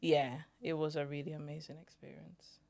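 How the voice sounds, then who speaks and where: A woman's voice: low-pitched, flat delivery, moderate pace, creaky, a crowd in the background. A young adult woman, a podcast co-host, telling a reflective story in casual chat and acting out the voices she remembers.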